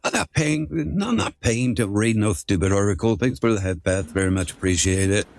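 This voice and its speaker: A man's voice: fairly low pitch, lively intonation, fairly quick, slight background noise. A man hosting a casual livestream, chatting informally with some swearing and often repeating his phrases. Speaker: livestream host.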